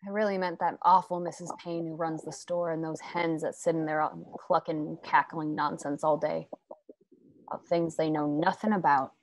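A woman's voice: low voice, flat delivery, normal speed, low-quality recording. A woman playing a troubled, bitter frontier wife in a radio drama, weary and intense.

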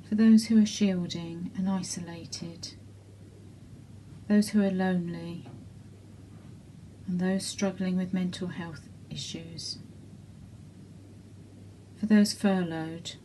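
A woman leading intercessory prayers in a measured way, pausing between short petitions. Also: fairly low pitch, fairly even intonation, warm tone, slight background noise. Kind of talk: slow spoken intercessory prayer.

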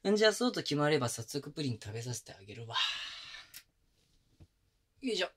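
A man playing a young boyfriend who coaxes and pampers his partner, talking in rough casual slang softened with gentle whispers, recorded close on a binaural mic. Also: a little high, very animated, brisk. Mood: tender, teasing. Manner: soft close whispers at times. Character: young male boyfriend, rough-spoken but doting caretaker. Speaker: voice actor. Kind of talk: Japanese girlfriend-experience roleplay audio drama.

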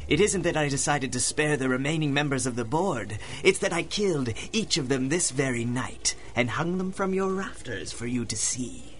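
An actor playing a vengeful masked supervillain in a radio drama, coldly gloating with menacing, mock-polite manners. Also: male voice, mid-range voice, animated, normal speed, few pauses, slight background noise, natural voice. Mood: gloating menace. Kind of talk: 1930s-style radio drama acting.

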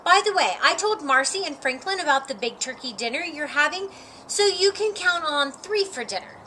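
A woman's voice: high-pitched, expressive, moderate pace, bright, forceful tone, a little hiss or hum. A woman voicing a pushy, blunt, indignant young girl in a storybook. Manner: blunt, talks over others.

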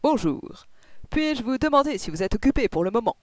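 Reader doing a keen police inspector guy from a detective audiobook, formal n emphatic, lifted pitch, dry sound. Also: big pitch swings, fairly quick, a little mellow, no echo, natural voice. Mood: earnest, eager. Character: solemn, eager police inspector, a man, chatty and official.

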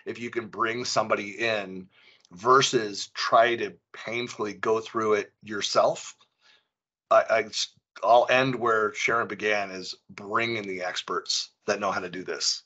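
A middle-aged man with a forceful, bright, fairly low voice talks casually as a panel host. He rephrases and repeats himself haltingly, and the video-call audio is slightly dull. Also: steady tone.

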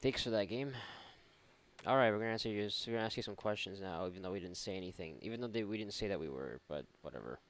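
A man's voice: lowish voice, lively intonation, quick pace. A man doing casual, irreverent let's play commentary, mocking and thinking aloud. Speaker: gaming YouTuber.

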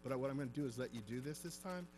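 A man acting as mayor, chairing a council meeting in a wood-paneled chamber, brisk and procedural, and firm when he interrupts. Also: medium pitch. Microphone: desk microphone on the dais.

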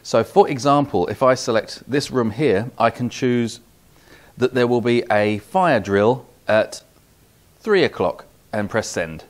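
A man's voice: medium pitch, expressive, a little slow. A man in his forties with a British accent giving a methodical, step-by-step product demonstration. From United Kingdom. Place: small office demo room, indoors.

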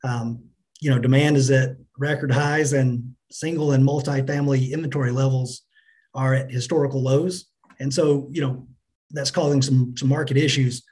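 A middle-aged man answering business interview questions as a company finance chief, in a measured, businesslike manner. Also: mid-range voice, fairly even intonation, a little mellow. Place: indoors on a remote video call.

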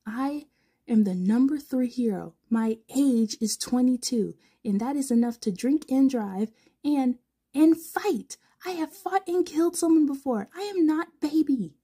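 A woman's voice: high-pitched, animated, measured pace, mellow, slightly rough, voice pushed up, full character voice. A woman voicing an indignant, easily flustered young male hero with wings, protesting emphatically.

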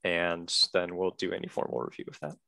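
A man's voice: low voice, little pitch movement, brisk, gravelly, pushed and bright, no room sound, studio quality. A man talking through procedure in a work meeting on a headset.

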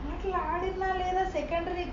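A woman's voice: very high-pitched, level tone, normal speed. A young woman arguing privately on the phone in an exasperated, accusatory, insistent way. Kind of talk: heated private phone argument. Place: roomy space, recorded phone conversation. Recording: noisy, roomy, muffled leaked phone-call recording.

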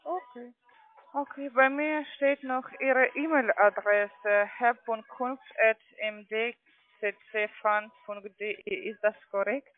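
A man's voice: very high voice, steady tone, slow, no room sound, faint music behind. A non-native speaking man working as a call centre agent, making a sales call in ungrammatical phrasing. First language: not German. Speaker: call centre agent selling trading accounts. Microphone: phone call. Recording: narrow muffled telephone line.

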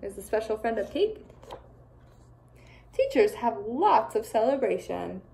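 A young woman teacher reading a picture book aloud to children, expressive and full of playful asides. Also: on the high side, unhurried, vocal fry. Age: young adult.

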